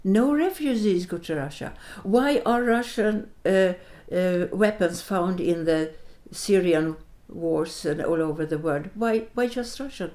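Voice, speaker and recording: Older latvian-native lady from sweden being interviewed, soft mellow tone, keeps searching for words. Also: fairly low pitch, unhurried, few pauses. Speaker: interview guest. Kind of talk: reflective interview answers.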